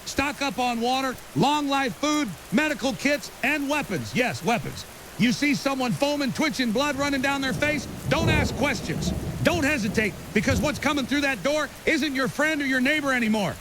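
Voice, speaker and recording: A man's voice: very high voice, animated, normal speed, fairly bright tone. A man playing a grim, urgent conspiracy theorist as a guest on an apocalypse podcast drama, emphatic and ominous in clipped, certain statements. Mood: urgent warning. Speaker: interview guest. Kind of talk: fictional apocalypse podcast interview. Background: rain and faint hiss.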